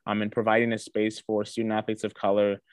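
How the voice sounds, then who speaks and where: A man's voice: lowish voice, completely flat delivery, fast talker, no echo, studio quality. A young man from Ghana, a guest in a casual interview, talking earnestly with a lot of ums and restarts. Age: young adult. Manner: earnest, many fillers and restarts. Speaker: interview guest, student athlete.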